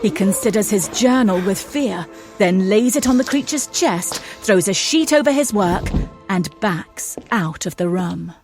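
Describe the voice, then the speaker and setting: Female narrator: mid-pitched voice, dramatic scripted scene description for audio drama, faint underscore.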